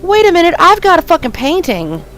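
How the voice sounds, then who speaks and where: Sweary, excitable woman playing a game. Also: very high voice, a little fast, faint music behind. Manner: excitable, swears often, reads dialogue aloud. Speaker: game streamer. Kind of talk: let's play commentary.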